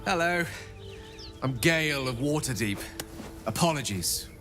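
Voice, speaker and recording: A man acts out a genial male wizard from a fantasy video game. His phrasing is ornate and courteous with a wry edge, and his pitch swings expressively. Music plays behind him. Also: animated, unhurried, lightly acted. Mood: affable, sheepish. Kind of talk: video game dialogue acting.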